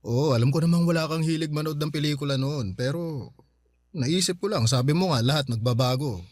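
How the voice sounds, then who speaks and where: Radio drama: man acting patient, awkward older brother, Filipino accent, lightly high voice, dry close sound, hesitant. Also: a little fast, darkish tone, no room sound, lightly acted. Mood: awkwardly coaxing. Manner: coaxing, hesitant. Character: conciliatory older brother back from years abroad, patient, awkward.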